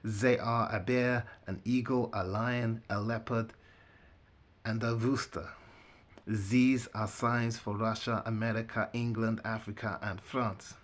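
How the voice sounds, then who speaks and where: A man's voice: on the low side, little pitch movement, takes it slowly. A man voicing an urgent, commanding German prophet in a storybook, with a clipped, formal German accent. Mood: urgent, stern.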